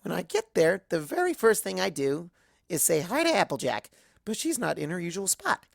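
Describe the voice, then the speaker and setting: Woman, fan fiction narrator, expressive storytelling, lively pitch, some vocal fry, dry close sound. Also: moderate pace, dry recording.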